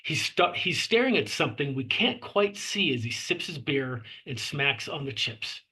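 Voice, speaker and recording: Bright, husky man narrating a screenplay. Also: on the high side, very animated, normal speed. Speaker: narrator reading stage directions.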